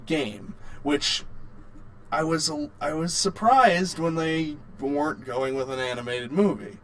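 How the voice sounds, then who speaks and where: A man co-hosting a podcast in casual chat, halting and often restarting his phrases. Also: fairly high pitch, a bit bright, low-quality recording. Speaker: podcast co-host. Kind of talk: casual chat about video game movies.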